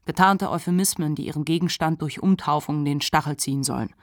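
A woman's voice: deep voice, fast talker, dry recording, studio quality. A woman playing a reflective, articulate narrator of mental illness in a literary radio play. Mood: analytical.

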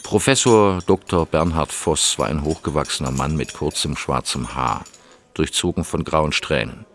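An actor playing a cool, observant private investigator, narrating and speaking in a plain, understated way in a radio drama. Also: male voice, rumbling low, normal speed, a bit bright. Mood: observing.

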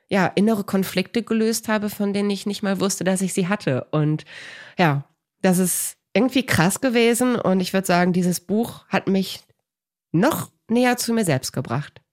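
A woman's voice: medium pitch, darkish tone. A woman from Germany's Ruhr area speaking as an interview guest, telling personal stories in an effusive, emphatic way. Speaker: podcast guest, author.

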